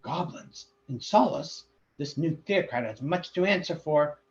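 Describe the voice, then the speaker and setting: A man voicing a grumpy old male dwarf warrior who talks gruffly and roars. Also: on the low side, slow pace, fairly bright tone, roomy sound. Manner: gruff, roaring. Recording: dull lo-fi recording.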